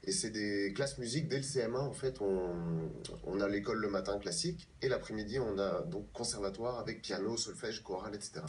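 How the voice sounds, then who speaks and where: A man of thirty-five from Paris explaining himself haltingly as a guest in a TV interview. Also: fairly low pitch, slight background noise. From France, born in Paris. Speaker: guest, pianist and composer. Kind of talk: live TV interview. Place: TV news studio, replayed from a television. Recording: distant, off a TV screen, faint music.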